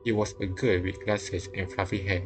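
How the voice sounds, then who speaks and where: Low-voiced man reading a game aloud. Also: level tone, moderate pace. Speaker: streamer reading a visual novel aloud. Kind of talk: live read-aloud narration with casual comments. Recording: game music behind. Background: game music.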